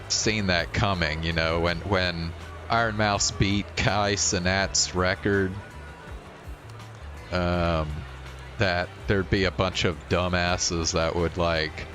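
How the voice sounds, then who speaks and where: Slow-talking, low-voiced man livestreaming. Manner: frequent profanity, blunt. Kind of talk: casual live gaming commentary.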